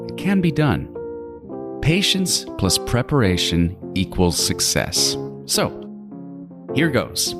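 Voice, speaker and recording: A man playing a meticulous, gloating serial killer in a horror audio drama, savoring his words in a theatrical monologue. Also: lowish voice. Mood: dreamy, creepy. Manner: theatrical, savoring, deliberate phrasing with sudden swings.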